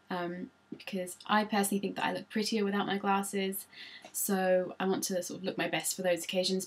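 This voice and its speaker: A woman's voice: mid-range voice, breathy edge. A woman, chatting casually through tag questions on her vlog with frequent ums, recorded on a laptop. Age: 20s. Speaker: vlogger.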